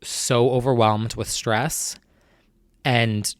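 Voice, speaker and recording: A man's voice: medium pitch, steady tone, very slow, clean tone, no room sound, studio quality. A young man hosting his own podcast, talking in a casual, anxious, rambling way full of fillers and restarts. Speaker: podcast host. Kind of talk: casual solo chat.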